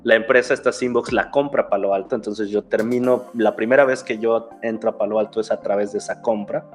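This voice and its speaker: A man's voice: fairly low pitch, steady tone, brisk, faint music behind. A young Mexican man with a Mexican Spanish accent, talking casually as an interview guest, rambling, restarting phrases and adding fillers. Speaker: guest, security researcher.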